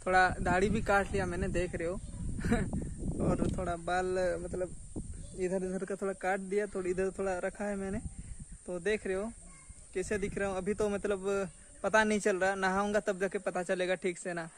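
Young man, high voice, casual vlog chat, informal and repetitive, outdoor phone recording with some noise. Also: little pitch movement, moderate pace, low-quality recording. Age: young adult. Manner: informal, repetitive, addresses viewers as friends. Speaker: vlogger. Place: outdoors in a village yard under trees. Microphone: phone camera at arm's length.

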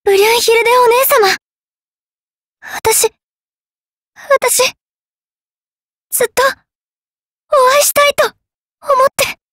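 A woman's voice: extremely high pitch, slow pace, long pauses, a bit bright, dry recording, studio quality, heavily acted. A woman playing an earnest, reserved young valkyrie in an anime game, speaking hesitantly. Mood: overwhelmed. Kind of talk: anime mobile game voice acting.

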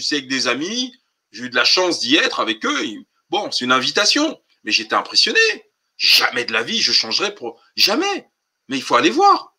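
A man with a very bright, sharp, fairly high voice hosts a political live stream. He speaks colloquially and insistently, driving points home with emphatic rhetorical questions. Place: online group call.

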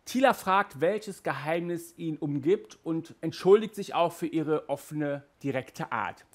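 A man in his thirties with a fairly high voice, hosting a casual review from a small room. He talks in a lively, restless way, stumbling and restarting, and sounds hot and flustered by the heat. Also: measured pace. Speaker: audio drama reviewer, host. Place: small indoor room with toy shelves.

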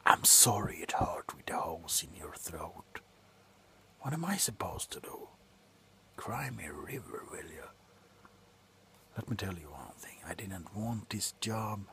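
A tipsy man playing a callous, grumpy doctor in a slow, sullen ASMR roleplay, whispering sarcastically up close. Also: deep voice, lively intonation, pushed and bright, slight background noise, heavily acted. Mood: sarcastic, irritated. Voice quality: breathy whisper. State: drunk. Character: grumpy, callous, drunken male doctor on a house call. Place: small cluttered room indoors.

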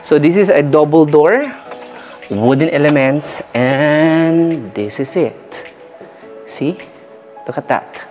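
A man's voice: a little high, slow, pauses often, a little mellow, soft background music. Salesy Filipino man touring a house. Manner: salesy, mixes English and Tagalog. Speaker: real estate tour host. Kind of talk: walk-through property tour. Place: house and yard, in and out of doors.

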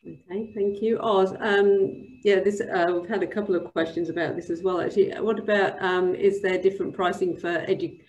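Female webinar host, middle-pitched voice, casual moderation over video call. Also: steady tone, fairly quick, hardly any pauses, darkish tone, lo-fi sound, slight background noise.

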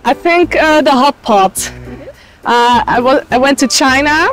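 A woman's voice: sits high, a little slow, fairly bright tone. A woman giving casual answers in an outdoor street interview. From Netherlands. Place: open city square outdoors. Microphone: handheld furry interview mic. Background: music.

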